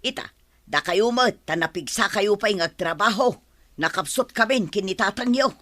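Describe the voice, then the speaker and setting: A woman's voice: mid-range voice, animated, moderate pace, a bit bright, close to an everyday voice. A woman playing a fretful, scolding older mother with an Ilocano accent in a radio drama. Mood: grumbling.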